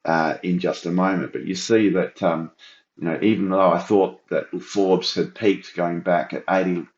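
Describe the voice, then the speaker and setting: A middle-aged man with a croaky voice, walking viewers through a flood data report on his screen with a thinking-aloud, explanatory manner. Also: low-pitched, steady tone, normal speed, reverberant, muffled recording. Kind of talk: screen-share walkthrough.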